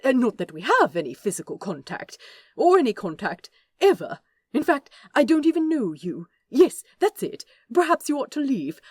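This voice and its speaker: Fussy, easily scandalised male angel played by a woman, high, swooping delivery, prim and old-fashioned, for a storybook. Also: sing-song, normal speed, breathy edge, no echo, studio quality, heavily acted. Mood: indignant.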